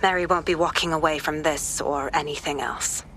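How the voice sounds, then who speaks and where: A weary, principled female investigator in a game cutscene. Her voice is low and serious, with grave determination. There is clear background noise around her. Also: fairly even intonation, moderate pace, a bit bright. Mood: sombre. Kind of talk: video game cutscene acting.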